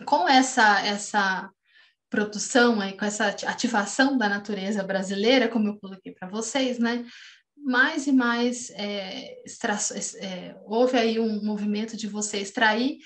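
A woman's voice: mid-range voice, a little slow, fairly bright tone. A woman in her thirties with a Brazilian accent giving an online academic lecture over a video call, talking with frequent restarts and fillers. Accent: Brazilian Portuguese. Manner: hesitant, many restarts and fillers. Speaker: postdoctoral researcher lecturing. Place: home room, seen on webcam. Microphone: video call webcam.

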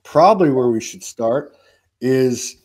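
A man's voice: mid-range voice, expressive, slow, a little mellow. Rambling fifty-something guy hosting a chill video call chat, lots of "you know, man", loose and easygoing. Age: 50s. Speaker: host. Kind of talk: casual spiritual chat. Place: home room, indoors.

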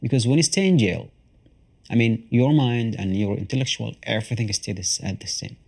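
A man in his thirties giving an interview in a small apartment, speaking haltingly and searching for words. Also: mid-range voice, vocal fry, darkish tone, husky. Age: 30s. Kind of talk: emotional interview.

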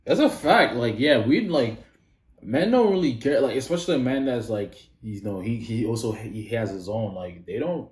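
A man's voice: medium pitch, normal speed, roomy sound. A man in his thirties hosting a reaction video, talking casually and full of "like" fillers. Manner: rambling, many "like" fillers, animated when disagreeing. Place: small indoor room.

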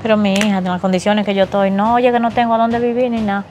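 A woman acting as a homeless woman who is hungry and tired, speaking softly and pleading. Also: medium pitch, little pitch movement, fast talker, a little hiss or hum, lightly acted. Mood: weary. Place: outdoors, rural yard.

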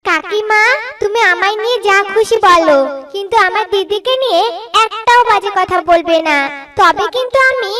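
A woman's voice: extremely high pitch, moderate pace, pushed and bright. A woman voicing a fiery, protective young village girl who argues back defiantly in a cartoon story. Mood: angry, defiant. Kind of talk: Bengali cartoon story acting.

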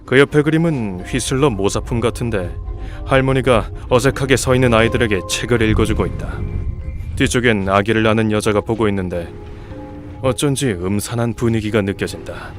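A man's voice: fairly low pitch, fairly quick, faint music behind, lightly acted. A man in an amateur film dub, playing a cynical hotel guest with a sardonic, easily angered manner. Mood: dry, sardonic. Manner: sardonic, muttering observations, explosive when angry. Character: cynical male hotel guest, dryly observant, easily enraged. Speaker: amateur voice actor. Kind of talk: fan-dub film acting.

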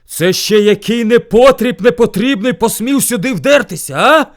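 A man's voice: high-pitched, lively intonation, moderate pace, fairly bright tone, voice pushed up. A man voicing a furious, hungry bear who bullies and threatens as lord of the forest in a folk tale. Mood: roaring rage. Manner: roaring, threatening, bellowing demands. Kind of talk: animated folk tale storytelling.

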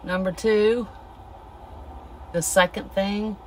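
Slow-talking woman co-host, casual. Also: medium pitch, fairly even intonation, darkish tone, a little hiss or hum. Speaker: channel co-host. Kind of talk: casual channel intro and interjections.

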